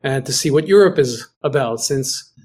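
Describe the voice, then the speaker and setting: A man raised in Greece answers questions as a guest over a video link. He talks in a halting way, restarting sentences as he hunts for the right word. His pitch sits right in the middle for a man. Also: expressive, a little mellow, breathy edge. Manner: halting, searches for words, often restarts. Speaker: guest, researcher. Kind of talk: casual conversation. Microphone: video call.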